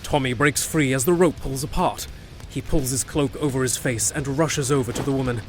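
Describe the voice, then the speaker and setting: A man's voice: mid-range voice, moderate pace. A man narrating a scripted fantasy audio drama as a storyteller. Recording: music behind.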